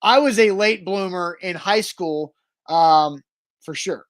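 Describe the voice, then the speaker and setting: A man's voice: high-pitched, slow pace, no room sound. A man co-hosting a sports talk show, matter-of-fact and teasing, laying out his points with pedantic precision. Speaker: sports radio co-host. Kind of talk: casual sports talk banter.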